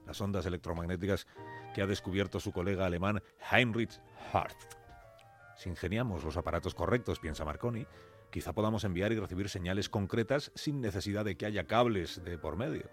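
Low-voiced Spanish man narrating a radio story. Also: a little fast, faint music behind. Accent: Castilian Spanish. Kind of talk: dramatic scripted storytelling narration.

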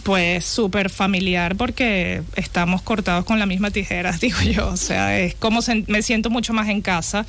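A woman from Venezuela with a Venezuelan accent, a guest artist talking in a radio interview in a relaxed, reflective way with frequent pauses. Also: mid-range voice, a little hiss or hum.

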